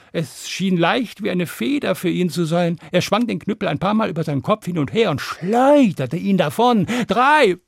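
A man telling a fairy tale to children, expressive and dramatic, with deliberate pauses and emphasis. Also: high-pitched, creaky, breathy edge, dry recording, clean studio sound. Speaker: storyteller.

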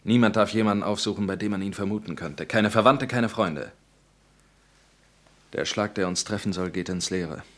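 A calm resistance leader in a radio play, played by a man. He gives firm, decisive orders in a low voice. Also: moderate pace, close to an everyday voice.

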